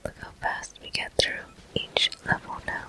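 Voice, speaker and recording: A person whispering gameplay commentary for an ASMR video, breathy and gentle, in short, unhurried phrases. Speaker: host of a gaming ASMR video.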